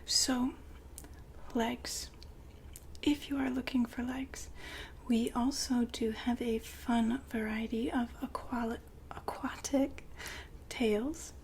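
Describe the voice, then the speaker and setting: A woman speaks in a breathy, unvoiced whisper with a flat, even delivery. She takes her time, as a polite consultant attending to a customer in an ASMR roleplay. There is faint noise behind her. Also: fairly high pitch. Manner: soft-spoken, polite, unhurried, gently hesitant.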